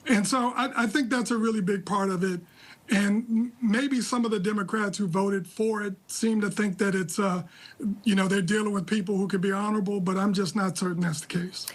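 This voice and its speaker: A man with an American accent giving live political analysis in a measured, deliberate, critical way. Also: sits high, fairly even intonation. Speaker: political analyst, interview guest. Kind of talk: live news commentary.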